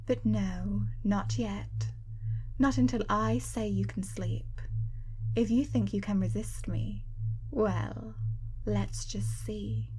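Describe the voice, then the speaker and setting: A young woman playing a teasing, controlling hypnotist in an ASMR roleplay, speaking softly and breathily, often close to a whisper. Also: mid-range voice, fairly even intonation, slight background noise, natural voice, poor audio. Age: young adult. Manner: soft, breathy, often near-whispered, drawn-out delivery.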